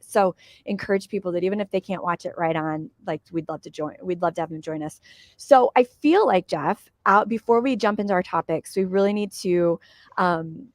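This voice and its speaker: This is a hoarse woman in her forties, her voice roughened by a cold, pitched slightly low and recorded very dry and close. She talks in a loose, chatty way, full of fillers and small laughs, while co-hosting a live political chat from outdoors. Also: a little mellow, no echo. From Michigan. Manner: chatty, laughs between phrases, many fillers. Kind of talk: casual live-stream chat.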